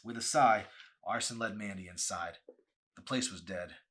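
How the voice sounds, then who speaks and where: A man with a low, bright voice reads fiction aloud. His delivery is animated and emphatic, like an author presenting his own story. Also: normal speed. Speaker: author reading own novel. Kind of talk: expressive audiobook narration.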